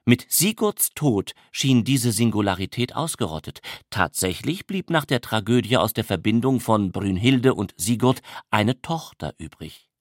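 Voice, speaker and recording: A man narrates a literary text in a mid-pitched voice. His delivery is measured and matter-of-fact, recorded close and dry without room sound. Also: no room sound.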